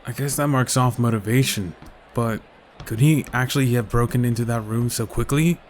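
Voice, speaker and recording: A man's voice: mid-range voice, normal speed, darkish tone, a little hiss or hum, lightly acted. A man acting a friendly, stubborn young ninja in an anime-style audio drama, with a casual, questioning, conversational delivery. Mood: earnest, pondering. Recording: music behind the voice.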